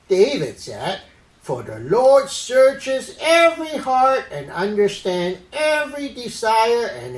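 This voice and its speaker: A performer voicing Uncle Ed, a silly, earnest orangutan puppet who teaches Bible stories to children in a deliberate way. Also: medium pitch, reverberant, low-quality recording. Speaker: host of children's Bible story show. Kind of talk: children's puppet show presenting.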